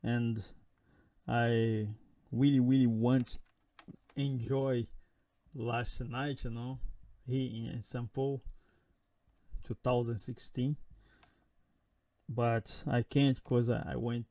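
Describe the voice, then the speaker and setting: A man in his thirties from Brazil, a saxophonist with a strong Brazilian Portuguese accent, recording a halting thank-you message into a webcam in a small room at home. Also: mid-range voice, little pitch movement, dark tone, no echo. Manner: halting, searching for words, grateful. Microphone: webcam at close range.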